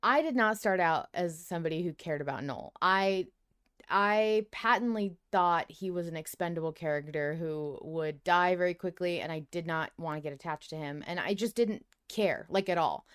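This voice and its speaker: A woman with a slightly low, clean and smooth voice co-hosts a fan podcast. She talks casually but emphatically, with expressive phrasing and easy swearing. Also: moderate pace. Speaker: podcast co-host.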